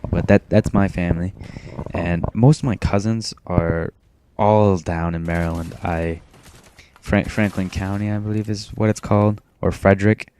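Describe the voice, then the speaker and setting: A teenage boy from Maine rambling in a self-described monotone, talking casually over his gameplay with frequent restarts. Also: low-pitched, normal speed, a little hiss or hum. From Maine, USA. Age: teens. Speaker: gaming commentator.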